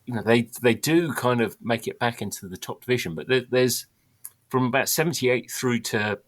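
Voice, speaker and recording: A man hosting a football podcast who speaks haltingly, stopping and restarting his sentences. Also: medium pitch, no room sound. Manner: halting, stops and restarts often. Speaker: podcast host. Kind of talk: casual podcast chat.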